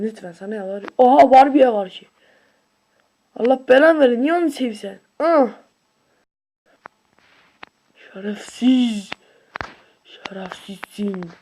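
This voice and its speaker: An excitable video creator with a high voice, giving casual, slangy gameplay commentary with shouts and whoops.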